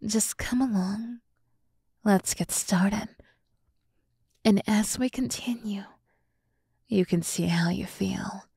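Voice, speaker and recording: A woman voicing a seductive, scheming demon woman in an ASMR roleplay, often dropping to a breathy whisper as she slowly coaxes and condescends. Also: medium pitch, dark tone, no echo, studio quality, exaggerated voice. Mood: softly menacing. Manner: slow, teasing, condescending toward humans. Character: seductive, scheming demon woman, coolly menacing yet coaxing. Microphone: close microphone, dry sound.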